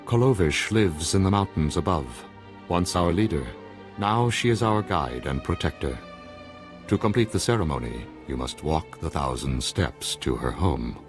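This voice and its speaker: An actor playing a solemn, formal village master of rituals in a video game. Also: male voice, low voice. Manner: formal, measured, ceremonial.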